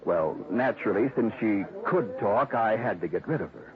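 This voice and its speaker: An actor playing a scheming ad man in a 1950s radio drama, talking slow, cool and menacing. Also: lowish voice, animated, a crowd in the background. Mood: cool menace. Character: scheming male ad man, smooth and cold. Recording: tinny 1950s radio broadcast.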